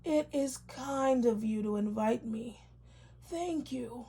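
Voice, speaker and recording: A woman voicing a gentle, grateful male turtle neighbor in a children's storybook, with mild, courteous phrasing. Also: fairly high pitch, slow pace, gravelly, husky, heavily acted. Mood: gentle gratitude.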